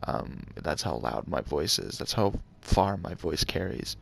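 A young man with a deep voice talking quietly and hesitantly to his viewers as a gaming channel host, with many ums and uhs. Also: lively intonation, measured pace, vocal fry. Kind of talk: casual talk to viewers.

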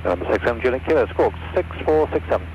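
A man's voice: medium pitch, expressive, rapid-fire. A man speaking as an air traffic controller in clipped phraseology over aircraft radio. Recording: dull, noisy aircraft radio reception.

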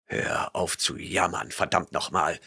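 A burly barbarian warrior growls his questions low and quiet, impatient and menacing, as a male narrator voices him. His voice drops into a hushed, rough register. It is heard in a storybook reading. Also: measured pace, full character voice. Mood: gruff.

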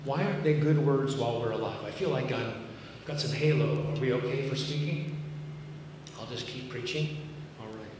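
A man in his fifties preaches with a Massachusetts accent, earnest and conversational, slipping in dry jokes at his own expense. He stands at a podium on the stage of a large church auditorium, picked up by a camera far back in the room. The sound rings through a roomy hall with a long tail of reverb and clear background noise. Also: medium pitch, moderate pace. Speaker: pastor. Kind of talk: sermon. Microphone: podium mic, filmed by a far camera.

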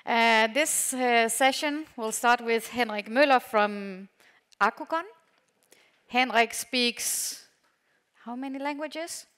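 A woman with a Danish accent, a native Danish speaker, chairing a session and making a measured stage introduction with pauses. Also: a little high, a bit bright, clean tone. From Denmark. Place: large conference hall on a stage.

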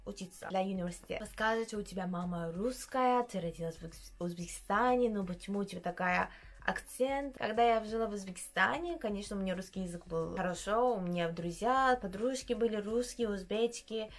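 A young woman from Uzbekistan with a Turkish-tinged accent in Russian, chatting casually while doing her makeup in her bedroom and often pausing to search for words. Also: mid-range voice. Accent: Turkish-influenced Russian. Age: young adult. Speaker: beauty vlogger. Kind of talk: casual makeup vlog chat. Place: small bedroom indoors.

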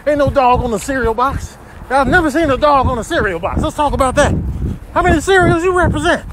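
Indignant old cartoon rooster, played by a man: very high voice, scolding rambles, noisy outdoor clip sound. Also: lively intonation, brisk. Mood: fed-up scolding. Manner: scolding, rambling, punctuated with questioning grunts. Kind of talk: comic animal voiceover.

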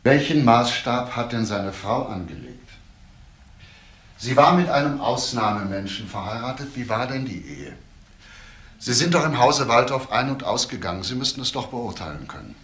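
A male actor playing a deliberate, insistent police investigator in a crime radio play. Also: mid-range voice, lively intonation, a bit bright, slightly rough. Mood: insistent, pointed. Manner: deliberate, pointed, questioning. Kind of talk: radio crime drama acting.

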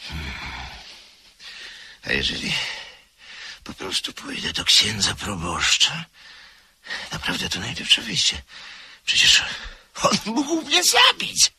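A man playing a nervous earnest man, high lively voice, gravelly, bright, slow, radio play hiss. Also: no echo, full character voice. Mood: anxious. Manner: pleading and agitated. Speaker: radio drama actor.

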